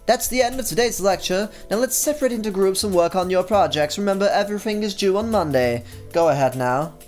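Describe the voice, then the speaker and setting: A brisk high school teacher in a game, handing out orders to the class in a formal, lecturing tone, as a man reading aloud puts them on. The voice is pitched well above a plain speaking voice. Faint background music plays. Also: close to an everyday voice.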